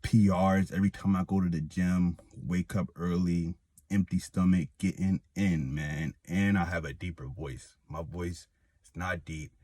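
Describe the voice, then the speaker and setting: A man's voice: low-pitched, steady tone, measured pace, warm tone, no room sound. A young man, telling a rambling personal story to camera with many fillers and restarts. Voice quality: slight lisp. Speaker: vlogger. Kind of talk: casual personal testimony.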